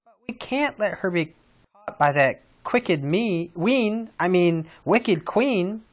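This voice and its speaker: Guy doing a bumbling little storybook dwarf, high flustered voice, trips over his words. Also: lively intonation, takes it slowly. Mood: protesting. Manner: stumbling, self-correcting. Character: flustered, bumbling male dwarf leader who muddles words. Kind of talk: storybook character voice.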